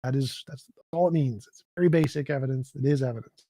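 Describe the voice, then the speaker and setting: A man in a debate discussion, explaining points quickly with dry jokes. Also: mid-range voice, mellow, no echo. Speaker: debate participant. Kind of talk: casual debate talk.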